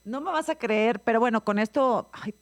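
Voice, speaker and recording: A woman, chatting casually and asking many curious questions with quick reactions. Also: on the high side. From Mexico. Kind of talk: casual chat.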